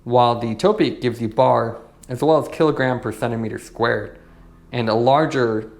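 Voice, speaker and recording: A man's voice: medium pitch, moderate pace, gravelly, a little mellow. A man giving a casual, plain-spoken product review, explaining and demonstrating features in a matter-of-fact way.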